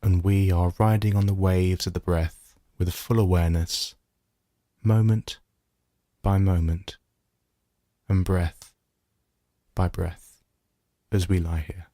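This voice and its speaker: Slow, deep-voiced male meditation guide. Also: fairly even intonation, dry recording, studio quality. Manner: gentle, unhurried instruction with measured pauses. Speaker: meditation teacher. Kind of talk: guided body scan meditation.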